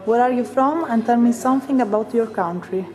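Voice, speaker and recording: A woman's voice: fairly high pitch, a little fast. A woman interviewer putting short, simple questions to her guests. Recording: clear crowd chatter behind.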